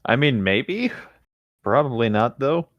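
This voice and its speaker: A man's voice: medium pitch, expressive, measured pace, fairly bright tone, no room sound, lo-fi sound. A man casually reading fiction aloud and commenting on it as a co-host. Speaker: co-host of a fanfiction reading show.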